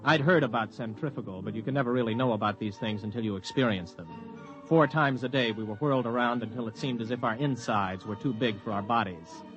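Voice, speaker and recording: An actor playing a weary, blunt veteran flight officer, plain-spoken and terse, in 1950s radio drama style. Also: male voice, mid-range voice, fast talker, lo-fi sound, over music, natural voice. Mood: narrating, weary. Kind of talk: 1950s radio drama acting with first-person narration.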